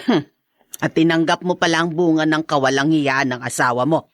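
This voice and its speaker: A woman's voice: low-pitched, moderate pace, no room sound, lightly acted. An actress playing a mother in a radio drama, sternly warning her daughter. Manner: stern and cautioning. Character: worried mother.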